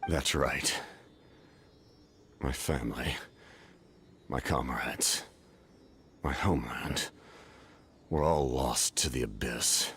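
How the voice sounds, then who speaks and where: A man's voice: rumbling low, slow pace, long pauses, a bit bright, a little hiss or hum, full character voice. A man playing a grim, haunted military commander in a fantasy game, stern and intense in his delivery. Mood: grieving, grave. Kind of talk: scripted fantasy game acting.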